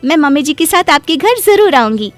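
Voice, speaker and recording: Lady doing super high voiced young cartoon bahu, meek, sorry, kinda whiny. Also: very animated, fairly quick, soft background music. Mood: polite, sheepish. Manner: pleading, whiny. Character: timid, apologetic young daughter-in-law. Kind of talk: Hindi moral story cartoon dub.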